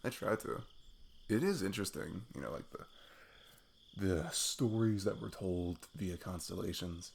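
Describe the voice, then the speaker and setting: A man's voice: sits low, expressive, measured pace, vocal fry, slight background noise, exaggerated voice. A man playing a sweet, goofy best friend on a date in an ASMR roleplay, rambling playfully and poking fun at himself. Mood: musing. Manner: rambling, playful, self-deprecating. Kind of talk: intimate ASMR roleplay, improvised casual chat.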